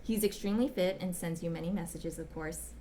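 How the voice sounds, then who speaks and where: A woman voicing a smitten, bashful gentleman writing polite, apologetic, ardent love letters in a dramatic reading. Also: lowish voice, a little fast, a little hiss or hum, voice pushed down, lightly acted. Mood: polite, formal. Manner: polite, apologetic, ardent, old-fashioned phrasing. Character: smitten, bashful, gushing gentleman letter writer back from China.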